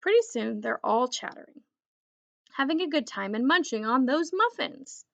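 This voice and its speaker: A woman reading a children's story aloud in an expressive, deliberate storytelling manner. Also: sits high, gravelly, muffled recording. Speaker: children's book reader.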